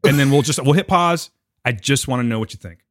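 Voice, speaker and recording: A man's voice: medium pitch, fairly quick, no room sound, clean studio sound. An American man co-hosting a podcast in casual banter, with a joking, teasing manner and some swearing. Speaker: podcast co-host.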